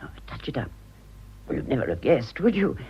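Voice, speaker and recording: A husky older British woman in a radio drama, vain and self-pitying. Her low voice swoops widely as she confides with theatrical pauses, on a slightly dull recording. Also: huge pitch swings, exaggerated voice. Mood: confiding, pained. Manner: dramatic, confiding, halting for effect.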